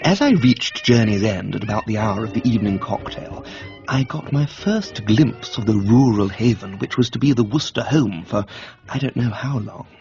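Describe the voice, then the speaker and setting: A man's voice: fairly low pitch, lively intonation, normal speed, muffled recording, music in the background. A man with an upper-class English accent reading a comic novel in a droll, blithe storytelling manner. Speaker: narrator, reader. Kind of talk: comic scripted narration of a novel.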